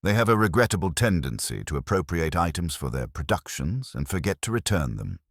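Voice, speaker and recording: A man's voice: low voice, sing-song, brisk, fairly bright tone, dry recording, studio quality, heavily acted. A man voicing a prim, defensive committee lady in an audiobook, speaking precisely and formally with a slightly affronted air. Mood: guarded, prim.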